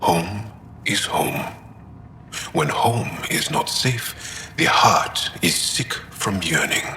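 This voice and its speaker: A man playing an earnest, thoughtful alien fighter with a wistful streak in video game dialogue, speaking deliberately. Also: lowish voice, sing-song, gravelly, pushed and bright, reverberant, a little hiss or hum, full character voice.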